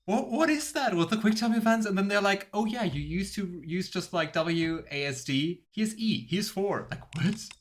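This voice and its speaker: A man's voice: high-pitched, lively intonation, normal speed, few pauses. A man hosting a let's play, giving casual, amused gameplay commentary with rambling asides. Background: game music.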